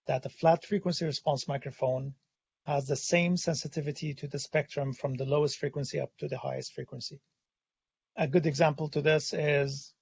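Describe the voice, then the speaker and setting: Hoarse man presenting a webinar. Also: mid-range voice, darkish tone, no room sound, muffled recording. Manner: hesitant, many "uh" fillers. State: hoarse, has lost his voice. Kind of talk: technical webinar explanation. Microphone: studio condenser microphone.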